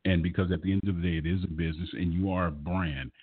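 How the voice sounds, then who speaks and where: A man conducts a radio music interview with a low, husky voice. He is effusive and complimentary toward his guest. The sound is narrow, like a phone line. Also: fairly even intonation, quick pace, no room sound.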